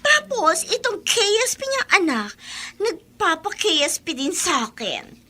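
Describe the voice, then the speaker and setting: A woman's voice: very high voice, wildly swooping pitch, unhurried, pushed and bright, heavily acted. A woman playing a snobbish, bratty rich schoolgirl, haughty and whiny, in a Tagalog radio drama. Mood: disdainful.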